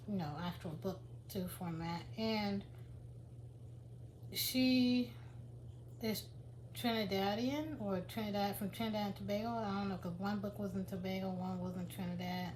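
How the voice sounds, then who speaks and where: A woman's voice: medium pitch, a little mellow, slight background noise, poor audio. A woman chatting casually to her viewers in a vlog, rambling with many restarts. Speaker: vlogger and writer. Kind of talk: casual chat to camera.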